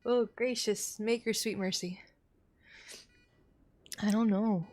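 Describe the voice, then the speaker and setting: A woman giving casual, emotive live commentary while streaming games, with sighs and folksy mild exclamations. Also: mid-range voice.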